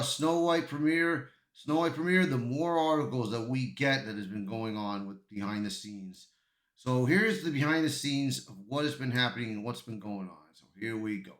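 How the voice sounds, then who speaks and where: Roomy echo, man, mid-pitched, amateur commentary host, stumbling read-aloud delivery, repeated words. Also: a bit bright. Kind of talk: amateur news commentary, reading an article aloud and reacting. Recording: echoey, roomy sound.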